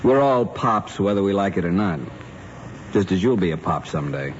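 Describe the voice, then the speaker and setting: An actor playing an earnest, formal middle-aged American father with a measured manner in radio drama style. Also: low-pitched, lively intonation. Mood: grave, paternal. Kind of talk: 1950s radio drama acting. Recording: muffled old radio recording with hiss.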